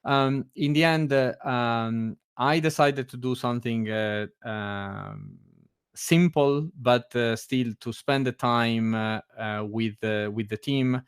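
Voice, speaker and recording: Italian guy on a video call, smooth voice, lots of uhs and restarts, laughs a lot. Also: medium pitch, expressive, takes it slowly, no room sound, clean studio sound. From Italy. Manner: hesitant, many fillers and restarts; laughs often. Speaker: meetup guest. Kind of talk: casual chat.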